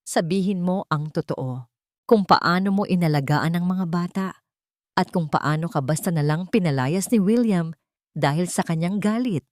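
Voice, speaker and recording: A woman's voice: on the low side, moderate pace, darkish tone, no room sound, studio quality, close to an everyday voice. A woman voicing a cold, bitter, vengeful rich aunt in an audiobook drama, speaking bluntly and commandingly. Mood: pressing.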